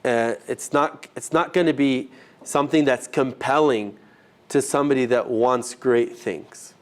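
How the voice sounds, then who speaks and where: A man leading an informal teaching session for a group, talking in a conversational, halting way and rephrasing as he goes. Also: mid-range voice. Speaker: ministry trainer teaching a group. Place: live session with a group present.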